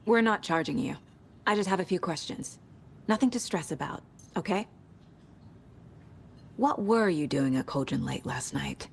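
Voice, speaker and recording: A woman playing a calm, probing FBI agent in a video game, with measured, businesslike questions. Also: fairly low pitch, a little hiss or hum. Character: calm, probing female FBI agent investigating cult murders. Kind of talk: naturalistic video game acting.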